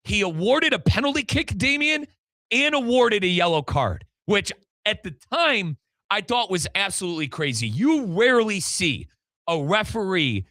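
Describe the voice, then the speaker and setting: A man's voice: high-pitched, sing-song, normal speed, bright, forceful tone, dry recording. An American man hosting a sports show, recapping matches in an emphatic, colloquial way and speaking straight to listeners and his co-host. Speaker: sports show host and radio play-by-play commentator.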